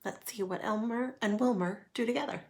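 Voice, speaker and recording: A woman's voice: a little high, a little fast, a little mellow. A woman reading a children's picture book aloud as a storyteller.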